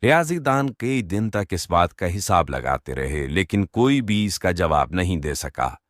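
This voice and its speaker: A man narrating scripted children's stories as an expressive storyteller. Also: deep voice, no room sound, clean studio sound.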